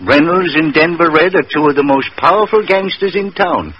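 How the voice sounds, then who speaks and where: Actor as tippling barfly pal, very lively pitch, florid wisecracks, wary, old radio drama, muffled recording. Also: male voice, normal speed, background noise, natural voice. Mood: confiding, warning. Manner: florid, teasing, wry. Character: boozy, florid, wisecracking male sidekick, wary of danger. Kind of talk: 1940s radio comic-relief acting.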